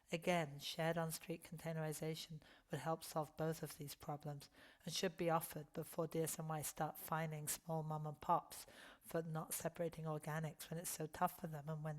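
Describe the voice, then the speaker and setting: A hoarse woman who has lost her voice, giving halting testimony at a public hearing with frequent ums and restarts. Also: low voice.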